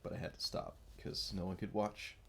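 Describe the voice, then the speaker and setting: A man's voice: moderate pace, fairly bright tone, a little hiss or hum. A man with a stuffy nasal voice from a cold, rambling casually as he streams a card game. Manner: mumbling, rambling, self-deprecating. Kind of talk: casual gameplay commentary.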